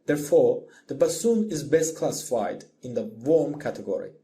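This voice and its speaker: A man giving a scripted lecture as a voice-over, in a measured, explanatory manner. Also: medium pitch, expressive, mellow. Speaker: music lecturer.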